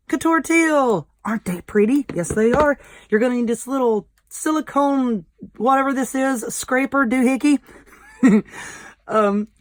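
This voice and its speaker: A woman with a Southern American accent and a slightly hoarse, croaky, squeaky voice, hosting a casual craft tutorial in a folksy, informal way. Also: no echo. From southern United States. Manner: folksy, informal, self-deprecating. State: voice a little hoarse. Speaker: craft video host.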